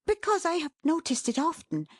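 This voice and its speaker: A woman voicing an excitable, affectionate, flustered young wife in a storybook reading, breathless and emphatic. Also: high-pitched, fairly quick, no room sound, clean studio sound, pitched above the performer's own voice. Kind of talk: dramatised classic storybook reading.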